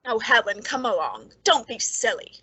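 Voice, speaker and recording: A cold, gleeful murderess played by a woman in a radio suspense drama. Her voice is high and bright with a slightly husky rasp, close and dry, and her delivery is theatrical, sliding between hushed and breathless. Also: sing-song, normal speed, dry recording, heavily acted. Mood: coaxing, menacing.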